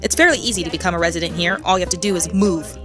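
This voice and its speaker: Brisk American woman narrating over music. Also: lowish voice. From United States. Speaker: travel show host.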